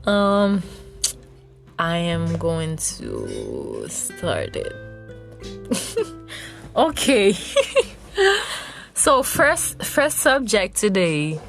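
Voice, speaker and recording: Young Haitian-accented woman hosting a solo podcast, chatty and giggly, unhurried delivery, faint music underneath. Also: lowish voice, wildly swooping pitch, creaky. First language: Haitian Creole. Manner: chatty, rambling, with frequent giggles. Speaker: podcast host. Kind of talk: casual solo podcast monologue.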